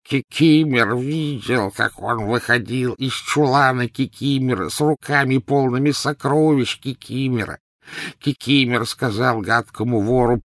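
A man voicing an old male house elf servant from a storybook, servile and anguished, with a croaking, wheezy, halting delivery. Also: medium pitch, a bit bright, no echo, clean studio sound, pitched above the performer's own voice. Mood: aggrieved. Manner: wailing, halting, drawn-out slow speech, speaks of self in third person.

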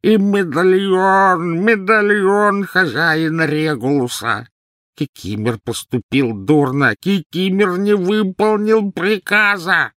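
A man voicing an old male house elf servant from a storybook, servile and anguished, with a croaking, wheezy, halting delivery. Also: sits high, fairly bright tone, no echo, clean studio sound, pitched above the performer's own voice. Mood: hysterical wailing. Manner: wailing, halting, drawn-out slow speech, speaks of self in third person.

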